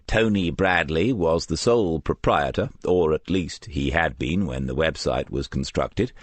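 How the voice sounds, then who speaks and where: Very deep-voiced male narrator. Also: husky, no room sound. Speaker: audiobook narrator. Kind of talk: scripted crime novel narration.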